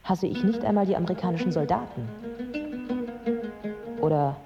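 An actress playing a proud, angry yet compassionate Iraqi woman in her twenties, in a measured radio drama monologue. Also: fairly low pitch, animated, gravelly. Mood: firm, bitter. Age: 20s. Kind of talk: literary first-person radio drama monologue. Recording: dull sound with music underneath.

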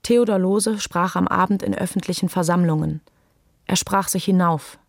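Creaky low-voiced woman narrating. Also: normal speed, no echo, studio quality. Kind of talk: literary scripted narration for a radio play.

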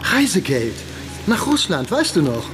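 Lively, wheedling old acquaintance. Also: male voice, sits high, unhurried, heavily acted. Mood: wheedling, needling. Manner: chatty, wheedling, pointed questions. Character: needling old acquaintance, a former editor cadging money. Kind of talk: radio drama dialogue. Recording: music bed under the voice.

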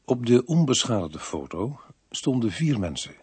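An actor playing a businesslike police inspector in a Dutch radio drama, probing and pausing as he talks. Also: medium pitch, very animated, a little mellow, slightly rough, dry recording. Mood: grave, businesslike. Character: businesslike male police inspector, investigating a case.

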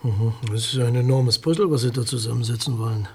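An actor playing a formal, guarded public prosecutor in a German radio crime drama, courteous, bureaucratic and a little hesitant. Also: male voice, medium pitch, brisk, slightly rough. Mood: reserved.

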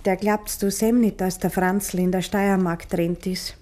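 An actress playing an anxious, probing village wife in a 1950s Bavarian dialect radio play, speaking with grave insistence. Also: female voice, medium pitch, steady tone, a little slow, warm tone, natural voice. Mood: uneasy.

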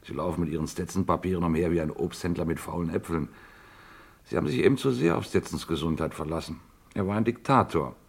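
An actor playing a cool, efficient aide to a scheming financier in a vintage German radio crime play, dry and precise. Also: male voice, deep voice, fast talker, gravelly, husky, exaggerated voice. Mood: dry scorn.

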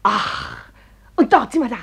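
An actress playing a sour, bossy older wife in a radio play, with a scornful way of talking. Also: female voice, on the high side, wildly swooping pitch, unhurried, creaky, a bit bright, husky, exaggerated voice. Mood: sour nagging.

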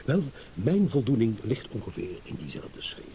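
An actor playing a smug, shrewd, eloquent gentleman in a radio drama, with mock courtesy. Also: medium pitch, sing-song, moderate pace, gravelly. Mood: amused, triumphant. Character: smug, shrewd gentleman savouring a battle of wits. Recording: hissy old radio play recording.

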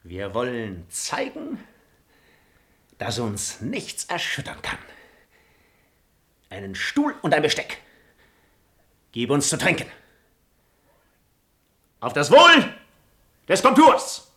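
A man's voice: a little high, wildly swooping pitch, takes it slowly, long pauses, pushed and bright, exaggerated voice. A man playing a proud, cynical nobleman and hypocrite in a German radio play, eloquent and declamatory. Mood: bold defiance.

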